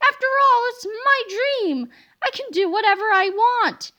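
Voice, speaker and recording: A flustered, gullible school principal with a squeaky, shrill voice that climbs high with excitement, as a woman reads her out. She sounds like a character in a children's storybook, and every line comes out very high and bright. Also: unhurried, exaggerated voice. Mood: giddy. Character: flustered female school principal, easily fooled.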